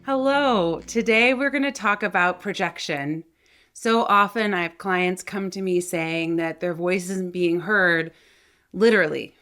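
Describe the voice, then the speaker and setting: A woman's voice: lowish voice, unhurried, a bit bright, clean tone, faint music behind. A woman speaking as a voice coach and host, explaining things to viewers in an articulate, conversational way. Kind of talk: instructional talk to viewers.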